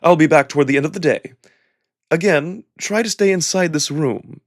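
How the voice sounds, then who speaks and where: A man voicing a measured, diplomatic jackal nobleman from a visual novel, wary and deliberate in his formal phrasing. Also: fairly high pitch, pitched above the performer's own voice. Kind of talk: visual novel character reading.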